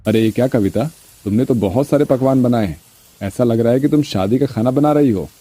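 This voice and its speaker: A man playing a gentle, reassuring young husband in a family story, speaking in a caring, soothing manner. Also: mid-range voice, brisk, husky, lightly acted.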